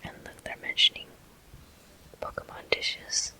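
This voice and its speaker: A person whispering a video game walkthrough in ASMR style, with soft, breathy, slow and deliberate delivery in short phrases. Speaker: gameplay host.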